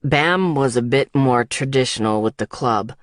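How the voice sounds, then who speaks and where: A woman voicing a gruff, protective male biker in an audiobook, speaking quietly and bluntly in a put-on low voice. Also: moderate pace, no room sound, clean studio sound. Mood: calm, explanatory. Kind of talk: audiobook character dialogue.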